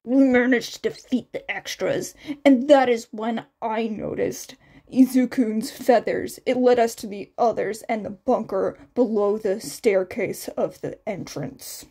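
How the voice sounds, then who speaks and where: A woman's voice: fairly high pitch, a little slow, warm tone. A woman voicing a blunt, cocky teenage boy who reports in a curt, matter-of-fact way, in an audio fan fiction reading.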